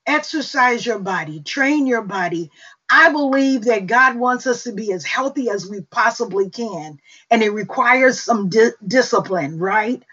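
A middle-aged woman with a Mississippi accent gives an unhurried spoken testimony on a prayer call, with fillers and long pauses. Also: fairly high pitch, fairly bright tone, slightly rough. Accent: Southern US, Mississippi. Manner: slow, unhurried storytelling with fillers. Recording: dull lo-fi call audio.

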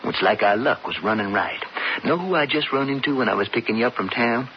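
An actor playing a scheming older brother turned stage robber in a radio western, with a folksy, persuasive American Western drawl. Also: male voice, medium pitch, expressive, a little fast, natural voice. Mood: coaxing, confident. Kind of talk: radio western drama acting. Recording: muffled, noisy old radio broadcast.

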